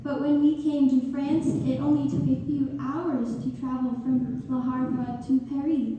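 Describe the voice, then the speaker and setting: A woman's voice: sits high, steady tone, unhurried, warm tone. A woman playing an earnest, politely argumentative little American girl in a stage read-through. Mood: earnest, contradicting. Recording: reverberant hall, distant mic, faint noise.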